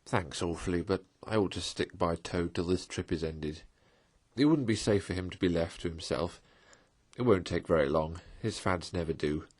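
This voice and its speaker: A man voicing a patient, loyal male water rat, speaking softly and earnestly. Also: sits low, normal speed, slightly rough, voice pushed down. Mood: gentle.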